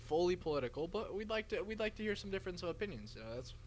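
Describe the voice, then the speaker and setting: A young American man with a fairly high, animated voice chats casually as a podcast co-host. His pitch moves around a lot, over some background noise. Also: racing. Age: young adult. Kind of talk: casual group chat.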